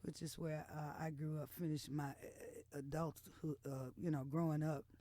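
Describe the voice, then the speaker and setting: A woman's voice: sits low, level tone, moderate pace, warm tone, no echo. Frank American woman in her sixties, close sound. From Texas and Oklahoma, United States. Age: 60s. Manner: frank, outspoken, emphatic. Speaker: blues singer, radio interview guest. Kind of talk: candid conversational interview. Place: radio studio. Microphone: studio microphone.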